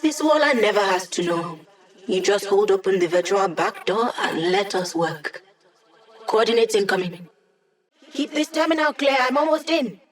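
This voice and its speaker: Woman playing young hacker woman, digital mind, medium pitch, casual, teasing, upbeat, video game character. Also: lively intonation, moderate pace, close to an everyday voice. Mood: wry, focused.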